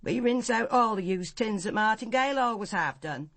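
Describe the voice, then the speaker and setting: A woman's voice: fairly high pitch, expressive, moderate pace, gravelly, fairly bright tone, no room sound, heavily acted. A disapproving English household servant in a radio drama. She is blunt and grudging, guarding her ground, and is heard very dry and close. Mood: defensive. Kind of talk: BBC radio drama acting.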